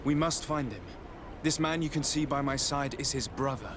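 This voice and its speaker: Game casting: male actor as composed noble diplomat, medium pitch, measured and polite, faint outdoor noise behind. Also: natural voice. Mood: insistent. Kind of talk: dramatic video game dialogue.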